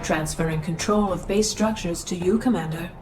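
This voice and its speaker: A woman's voice: on the low side, normal speed, music in the background, lightly acted. A woman voicing an impassive military computer in a video game, giving formal, detached system announcements.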